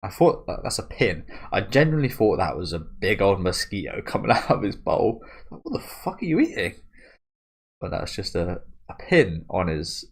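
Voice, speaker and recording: A man's voice: deep voice, very animated, normal speed, vocal fry, husky. A man hosting a manga reaction video. He thinks aloud in a rambling, casual way, with many restarts and tag questions. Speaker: manga reaction channel host.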